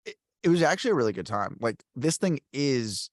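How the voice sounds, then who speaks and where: A man of middle pitch tells stories at length in loose, animated chat, full of fillers and restarts. His voice sits dry and close to the mic. He sounds relaxed and conversational as he hosts. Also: fairly quick, dry recording. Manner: rambling, animated storytelling with many fillers. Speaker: podcast host. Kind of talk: casual chat.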